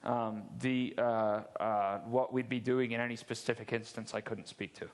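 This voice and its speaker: A man's voice: mid-range voice, steady tone, normal speed. Candid joking man on a headset. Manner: candid, joking, repeats words and restarts often. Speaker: security presenter at a game convention. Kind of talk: conference Q&A answers. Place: large dark convention stage.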